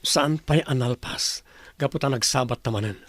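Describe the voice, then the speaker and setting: A man's voice: medium pitch, normal speed, no room sound, close to an everyday voice. A man acting an insistent, possessive former lover in an Ilocano audio drama. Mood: insistent confronting.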